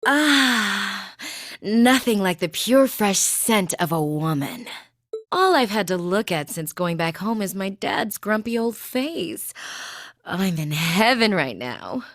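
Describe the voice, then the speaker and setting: Suave, flirty noblewoman, lively and dry-miked. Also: mid-range voice, slow, no echo. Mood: flirtatious, blissful. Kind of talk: anime game dub acting.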